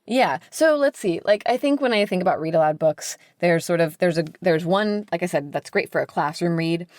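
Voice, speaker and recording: A woman's voice: fairly low pitch, lively intonation, fairly quick, darkish tone, dry recording. A woman talking casually as an interview guest, explaining things in a chatty way with lots of "like" and "sort of". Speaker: podcast guest, children's book editor.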